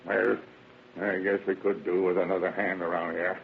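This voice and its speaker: A cantankerous old father from the American backcountry, in a radio play. The recording is tinny and thin, with heavy noise. He talks in a gruff, folksy rural American dialect, stern and grudging with everyone. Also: low-pitched, little pitch movement, normal speed. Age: older. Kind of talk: old-time radio drama acting.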